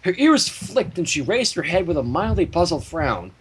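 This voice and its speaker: A man co-hosting a livestream, reading fan fiction in an animated way mixed with casual chat. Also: a little high, moderate pace, breathy edge. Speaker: livestream co-host and story reader.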